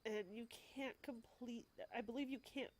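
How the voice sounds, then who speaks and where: A woman's voice: on the high side, steady tone, moderate pace, slight background noise. A woman with a stuffy cold talking casually as a let's play host, explaining the game as she goes with wry asides. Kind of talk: casual gameplay commentary.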